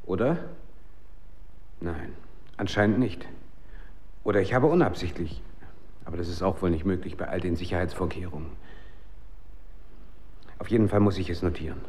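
A troubled, brooding man in a dystopian radio drama. His voice sits fairly low, and he searches haltingly for words as if unsure which world is real. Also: darkish tone, slightly rough. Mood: musing, clinical. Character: troubled former drug user in dystopian war, doubting his reality. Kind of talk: German radio drama acting.

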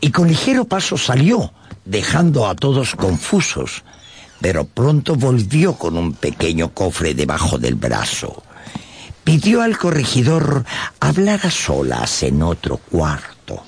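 A man narrating a radio drama in an expressive, scripted storytelling style. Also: on the low side, takes it slowly, creaky, slight background noise. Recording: soft music behind.

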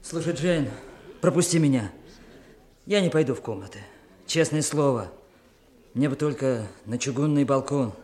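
An actor playing an eager, pleading young troublemaker in a 1960s radio play. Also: male voice, medium pitch, normal speed, pauses often, a little mellow, natural voice. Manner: earnest, pleading.

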